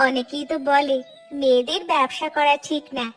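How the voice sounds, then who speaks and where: A woman's voice: sits high, fairly even intonation, moderate pace, faint music behind, close to an everyday voice. A woman voicing an earnest, hopeful young village stallholder in a cartoon, speaking respectfully and sincerely. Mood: grateful, determined. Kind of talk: scripted cartoon dialogue.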